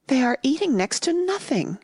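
A woman's voice: high voice, very animated, normal speed, a little mellow, no room sound, studio quality, pitched above the performer's own voice. A woman voicing a worried, fretful household nurse.

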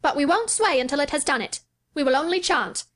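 A woman reading an audiobook, voicing an earnest, solemn young boy who speaks gravely and chants. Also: expressive, fairly quick, a bit bright. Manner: grave, high-pitched chanting.

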